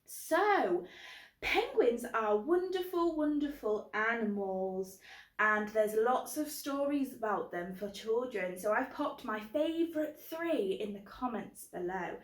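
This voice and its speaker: Female kids' book club host: high pitch, unhurried, roomy echoing sound. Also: lively intonation. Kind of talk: children's presenting.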